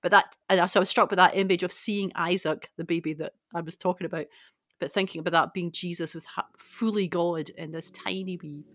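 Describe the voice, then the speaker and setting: Lowish-voiced woman, closely recorded. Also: steady tone, brisk, a bit bright, dry recording, clean studio sound. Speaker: podcast co-host. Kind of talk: reflective personal Bible discussion, conversational.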